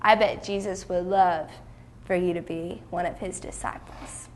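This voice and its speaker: A woman playing a kind, steady father in a children's Bible story, reassuring and earnest as he teaches. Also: fairly low pitch, measured pace, slight background noise, pitched below the performer's own voice, natural voice. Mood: gentle encouragement.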